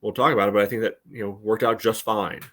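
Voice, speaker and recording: Low-voiced man in loose sports chat. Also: steady tone, fast talker, a bit bright. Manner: hesitant, loosely phrased, peppered with "you know". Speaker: sports podcast host. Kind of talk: casual sports analysis chat.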